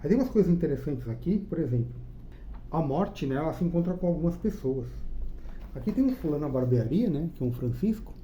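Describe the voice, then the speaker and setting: A man's voice: a little high, expressive, a little fast, dark tone, a little hiss or hum. A Brazilian man with a Brazilian Portuguese accent hosting his own channel, giving a chatty, enthusiastic review of a comic book and checking in with the listener with frequent tag questions. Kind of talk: casual spoken review.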